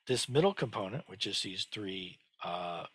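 An older man with a low, husky voice talks through a lesson, pausing often on little fillers and restarting his sentences. His tone stays bright and forward, and the sound is close and dry. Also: animated, no room sound. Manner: explanatory, halting, many fillers and restarts. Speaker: teacher. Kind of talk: screen-share lesson on Chinese characters. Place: home study with bookshelves. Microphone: headset.

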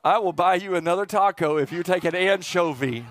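Middle-aged male preacher, slightly high, folksy. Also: a little fast, fairly bright tone. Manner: folksy, joking, asks the audience rhetorical questions. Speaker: pastor preaching to a congregation. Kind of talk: informal, interactive sermon. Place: church auditorium stage, indoors. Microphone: headset.

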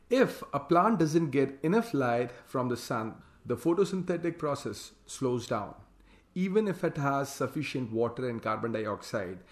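A man talks through a slide presentation, reading each slide aloud and then adding his own plain explanations. His voice sits at an ordinary middle pitch. The recording carries a noticeable roomy echo. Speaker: hydroponics farm consultant presenting slides.

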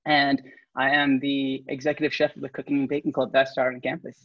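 A man's voice: medium pitch, little pitch movement, fairly quick, no echo. A college student in his early twenties from Singapore and the Bay Area, a man casually introducing himself on a video call. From Singapore and the San Francisco Bay Area. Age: early 20s. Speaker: student panelist. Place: indoor room, video call. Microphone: video call microphone. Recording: dull, dry video-call audio.

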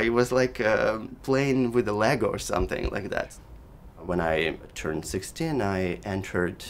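A middle-aged man whose first language is Russian, a clarinet professor speaking thoughtfully and measuredly in an interview, with pauses and restarts. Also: on the low side, gravelly, slight background noise. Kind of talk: reflective interview. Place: living room with daylight window.

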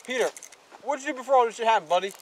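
High-pitched young man, noisy outdoors. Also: racing. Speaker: amateur actor in film outtakes. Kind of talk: rehearsing scripted dialogue, casual. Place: outdoors in woods. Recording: noisy outdoor camera recording.

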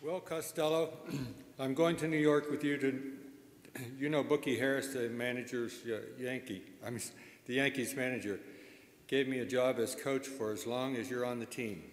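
A man's voice: medium pitch, fairly even intonation, moderate pace, close to an everyday voice. A man playing the matter-of-fact straight man of a comedy duo in an old-time radio sketch. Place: stage in a church-like hall, live show. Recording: echoey hall.

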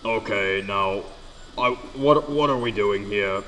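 A man's voice: fairly low pitch, takes it slowly, sharp tone. A young man hosting a casual let's play, joking, swearing and stumbling over his words as he plays. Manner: joking, swearing, stammering restarts. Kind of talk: casual gameplay commentary. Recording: echoey room with long reverb.

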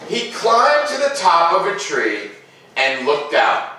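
A middle-aged man reading a storybook aloud to children with casual commentary, expressive and drawing out words as he reads. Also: high-pitched, slow pace. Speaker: read-aloud host. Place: living room, seated in armchair by fireplace. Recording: roomy echo, distant microphone.

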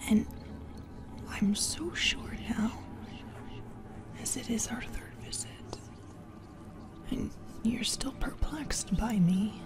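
Woman whispering as a slow, sinister female demon. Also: a little high, lively intonation, music in the background. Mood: fascinated, possessive. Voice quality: breathy, unvoiced whisper. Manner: slow, intimate, menacingly tender. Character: sinister, possessive female sleep paralysis demon, teasing and soothing. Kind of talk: whispered ASMR horror roleplay.

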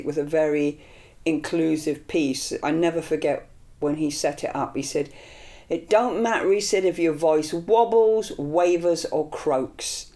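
Older Scottish-born woman, earnest and rambling. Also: deep voice. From Scotland. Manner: earnest, animated with hand gestures; rambling with fillers and restarts. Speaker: improvising vocalist. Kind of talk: reflective interview. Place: small indoor studio room.

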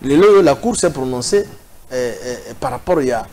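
Un homme d'une quarantaine d'années avec un accent congolais, débattant sur un plateau de télévision d'un ton animé et hésitant, avec beaucoup d'hésitations. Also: male voice, mid-range voice, moderate pace, a crowd in the background. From Équateur province, DR Congo. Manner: animated, hesitant, many fillers, gesturing. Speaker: political commentator. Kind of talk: TV debate. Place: TV studio set.

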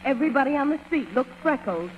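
High-pitched brash tenement housewife. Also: female voice, lively intonation, quick pace, phone-quality sound. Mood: brash, matter-of-fact. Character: brash, suspicious, plain-spoken tenement housewife. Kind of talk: 1930s radio comedy acting. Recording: noisy, echoing old radio recording.

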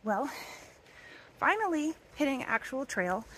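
Slow-talking woman hiking a forest trail. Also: high-pitched, big pitch swings, fairly bright tone, a little hiss or hum, poor audio. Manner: chatty, with frequent ums, drops to a near whisper at times. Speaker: thru-hiker vlogger. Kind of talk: casual vlog narration. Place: wooded mountain trail outdoors. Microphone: phone camera held at arm's length.

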